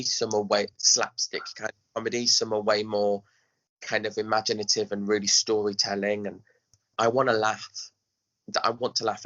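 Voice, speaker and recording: A man's voice: on the low side, bright, forceful tone, highs cut off. A man chatting casually as an interview guest, speaking emphatically and often acting out little asides. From England. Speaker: podcast guest, pantomime director.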